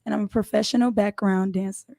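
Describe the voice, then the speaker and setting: A woman's voice: medium pitch, steady tone, a little fast, vocal fry, warm tone. Direct, plain-spoken young woman from Florida. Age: 20s. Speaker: panel guest. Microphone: headset.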